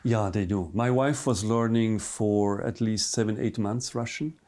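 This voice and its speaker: A middle-aged man from Switzerland, a native Swiss German speaker with a Swiss German accent, answering interview questions in English in a relaxed, halting way with many fillers. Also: on the low side. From Switzerland, near Bern. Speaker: hotel manager, guest.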